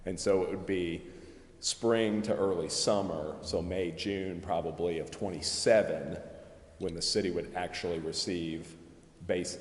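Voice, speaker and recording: A man with a very low voice explains things in a measured, careful way at a public meeting. He restates and qualifies his points as he goes. His words ring with long reverb in an echoey chamber. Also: darkish tone, slight background noise. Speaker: presenter explaining tax district finances at a council meeting. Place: council chamber.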